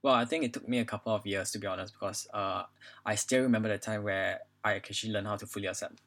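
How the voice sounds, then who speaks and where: A man's voice: on the low side, steady tone, quick pace, fairly bright tone. A man in his twenties with a Singaporean accent, talking casually as a podcast guest in a hesitant, filler-heavy way. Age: 20s. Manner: hesitant phrasing with frequent "you know" and "like". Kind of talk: casual personal conversation.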